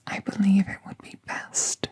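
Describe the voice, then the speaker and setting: Woman playing a whispering, unhurried, kindly magical vet. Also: natural voice. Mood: soothing. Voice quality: soft, breathy whisper. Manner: gentle, reassuring, measured, slightly formal. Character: kind, soothing magical veterinarian woman tending an injured patient. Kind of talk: whispered ASMR roleplay.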